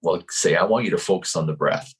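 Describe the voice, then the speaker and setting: A man in his fifties, a guest on a video-call interview, talking in a calm, searching way with many restarts and repeated words. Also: low voice, fast talker, slightly rough. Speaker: podcast guest. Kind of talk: reflective interview talk.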